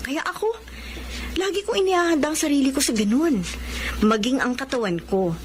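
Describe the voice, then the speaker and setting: An actress plays a hardworking Filipino mother with a high voice and gentle, dignified manner. It is radio drama acting, over clearly audible background noise. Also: fairly quick, lightly acted. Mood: patient, weary.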